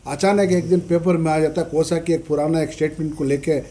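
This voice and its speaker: A man with a South Indian Dakhni Urdu accent giving a press statement as a spokesman, explaining haltingly and repeating himself with English terms mixed in. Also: fairly high pitch, steady tone, dark tone. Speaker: party minority-wing official, spokesman. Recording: noisy press meet recording.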